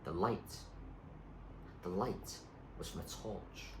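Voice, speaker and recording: A man reading a story aloud in an expressive, suspenseful narrating style. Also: deep voice, slow. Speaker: reader, teacher. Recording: echoey room with faint background noise.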